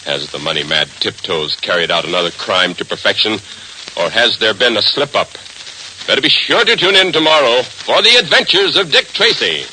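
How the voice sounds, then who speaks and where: A man's voice: on the low side, sing-song, moderate pace, sharp tone, a little hiss or hum, low-quality recording. An American man announcing in an emphatic, theatrical 1940s radio style, on a vintage broadcast recording.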